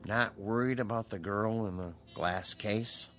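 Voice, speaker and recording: An actor playing a calm, authoritative psychiatrist in a radio drama, speaking in a measured, explanatory way. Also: male voice, fairly low pitch, soft background music. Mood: gentle, encouraging. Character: calm, authoritative male psychiatrist at a mental hospital.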